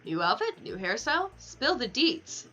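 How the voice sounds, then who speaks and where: Bright-voiced woman streaming a game. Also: a little high, sing-song, normal speed, soft background music. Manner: sweary, playful, talking to game characters. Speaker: livestreamer playing a video game. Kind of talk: casual gaming livestream chatter.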